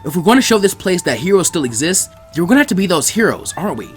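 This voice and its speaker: A brash young boy ninja, acted out by a male reader for an anime fan story. He is cheeky and determined, with a quick, very animated delivery and faint music behind him. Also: sits high. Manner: cheeky, defiant.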